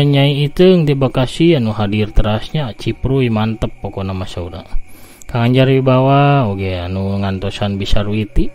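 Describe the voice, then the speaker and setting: An older man narrating a folk tale and greeting listeners by name, unhurried and homely. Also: medium pitch, darkish tone. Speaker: storyteller. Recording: faint music behind.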